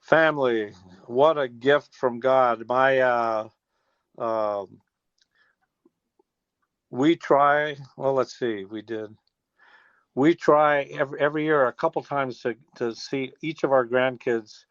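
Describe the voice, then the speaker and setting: A man's voice: medium pitch, takes it slowly, long pauses, dry recording. Folksy older American man on a dull phone line. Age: 60s. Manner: folksy, rambling with trailing restarts. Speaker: interview guest, teacher and former air traffic controller. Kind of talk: casual phone interview, reminiscing. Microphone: telephone.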